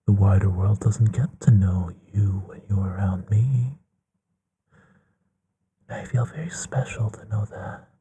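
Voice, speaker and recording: A man playing a courtly, bookish, gently affectionate vampire in an intimate ASMR bedtime roleplay, musing in a breathy hush that often slips into a whisper. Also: lowish voice, fairly even intonation, a little hiss or hum, lightly acted. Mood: tender, soothing. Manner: musing, genteel, unhurried with pauses.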